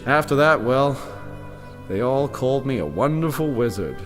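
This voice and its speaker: A man's voice: mid-range voice, animated, normal speed, close to an everyday voice. An older man playing a timid humbug wizard and former circus balloonist, stammering and pleading in a fantasy audio drama. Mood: self-justifying. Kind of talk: full-cast fantasy audio drama acting. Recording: long reverb, music behind.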